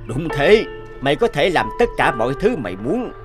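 An actor playing a stern, scheming middle-aged factory boss in a cartoon, blunt and domineering. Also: male voice, fairly high pitch, sing-song, moderate pace, music in the background. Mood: calculating, persuasive. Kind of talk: cartoon dubbing.

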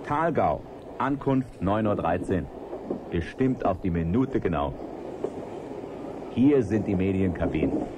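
An actor playing a helpful, joking train conductor in a 1980s German radio play. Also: male voice, deep voice, animated, unhurried, long pauses. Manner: helpful, jocular. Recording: noisy vintage radio play, train ambience.

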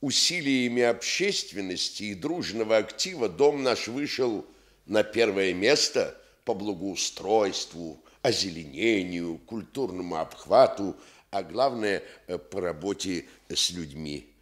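An actor in a Soviet radio play voicing a pompous, self-important housing activist who speechifies officiously with false modesty. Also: male voice, low voice, lively intonation, measured pace, fairly bright tone. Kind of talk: Soviet radio theatre acting.

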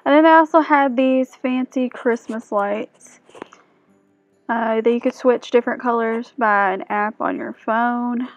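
A woman's voice: on the high side, unhurried. Chatty young woman. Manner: chatty, asks viewers questions. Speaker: vlogger. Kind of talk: casual shop-with-me voice-over. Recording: dull dry sound under music.

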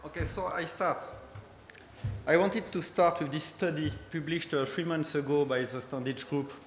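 A man's voice: on the high side, fairly even intonation, slight background noise. French-accented man presenting in a hall. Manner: hesitant, halting English with fillers. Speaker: conference speaker, company head. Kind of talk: conference presentation. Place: large conference hall. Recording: echoey hall with long reverb.

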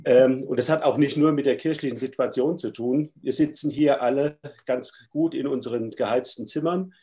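A man's voice: mid-range voice, moderate pace, dark tone. A middle-aged man with a stuffy cold gives a thoughtful, hesitant lecture from a room at home over a video call. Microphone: webcam on a video call.

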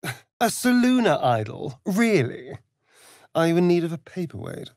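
A man's voice: fairly high pitch, very animated, unhurried, a little mellow, dry recording, studio quality. A male actor with an English accent voicing a sardonic, seductive, vain vampire rogue in a video game, with an arch, flamboyant drawl. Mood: mocking. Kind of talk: theatrical video game dialogue.

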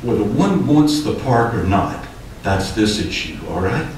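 A man's voice: on the low side, very animated, measured pace, slight background noise. An older man speaking at a city hearing podium as a developer giving public comment, emphatic and growing heated. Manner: emphatic, gesturing, rising heat. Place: city commission chamber, indoors. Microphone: podium microphone. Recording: echoey hall, distant mic.

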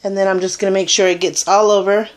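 A woman's voice: medium pitch, level tone, quick pace. A Puerto Rican woman in her thirties, chatting her way through a casual makeup tutorial into a webcam in a small room, with plenty of ums. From Puerto Rico. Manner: chatty, rambling, filler-heavy. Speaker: beauty vlogger. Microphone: webcam at arm's length.

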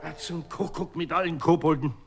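A man's voice: fairly high pitch, huge pitch swings, normal speed. An actor playing an older, grumpy master craftsman with a Bavarian accent, grumbling and exasperated in a children's audio play. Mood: exasperated, angry. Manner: grumbling, muttering to himself. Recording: echoey old audio play with hiss.

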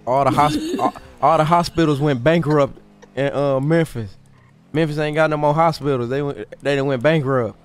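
A man livestreaming a roleplay game, commenting excitedly in boastful, slangy, profane casual talk. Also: a little high, normal speed, clean tone, faint music behind. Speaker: live game streamer.

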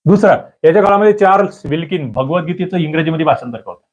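A man teaching an exam prep lesson, quizzing his listeners with lively questions, then answering them himself. He often clears his throat. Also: a little high, fast talker. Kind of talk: quiz-style exam prep lecture.

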